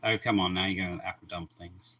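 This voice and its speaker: Man, very low voice, relaxed teasing co-host on a home stream. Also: brisk, lo-fi sound. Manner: easygoing, teasing, repeats words when starting. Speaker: co-host of an aquarium livestream. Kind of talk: casual chat.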